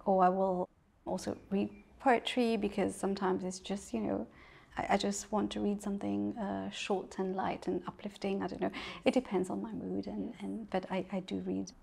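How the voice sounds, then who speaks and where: A middle-aged woman from Mauritius talks easily about books and family as an interview guest. Her voice has a gravelly, creaky texture, and she laughs often and doubles back on her words. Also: medium pitch, little pitch movement, normal speed. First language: English. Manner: warm, chatty, laughs often, many restarts. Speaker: author guest. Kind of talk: casual interview conversation.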